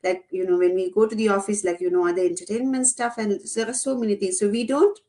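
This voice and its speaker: Woman guest from Sri Lanka, 30s, mid-pitched, halting answers full of fillers. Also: fairly even intonation, mellow. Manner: hesitant, many "like" and "uh" fillers. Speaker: guest, teacher aide. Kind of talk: interview answers.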